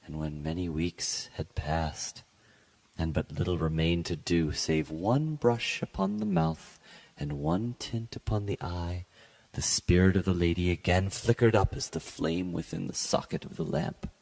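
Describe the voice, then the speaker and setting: A man giving a solemn, theatrical, measured dramatic reading of a gothic short story. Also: deep voice, darkish tone. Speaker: literary podcast reader.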